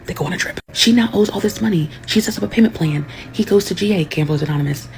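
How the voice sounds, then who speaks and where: A woman's voice: medium pitch, quick pace, darkish tone. A woman recapping a story in a sardonic, rhythmic way. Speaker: commentator.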